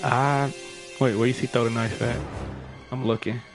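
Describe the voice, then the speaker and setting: A man chatting casually in slangy banter as a radio show co-host, with music clearly playing behind. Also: medium pitch, steady tone, normal speed. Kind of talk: casual chat.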